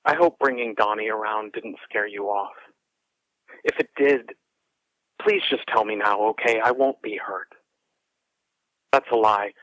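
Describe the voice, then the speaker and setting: A man's voice: lowish voice, a little slow, long pauses, fairly bright tone, no room sound, poor audio. An actor playing an anxious, rambling single father, hesitant and earnest as he corrects himself on a telephone voicemail in an audio drama. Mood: hurt, earnest.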